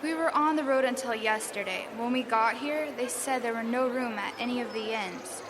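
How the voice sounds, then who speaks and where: An actress playing Mary, a gentle expectant young wife, in a live radio drama, giving short, soft answers. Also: female voice, on the high side, normal speed, natural voice. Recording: crowd noise behind.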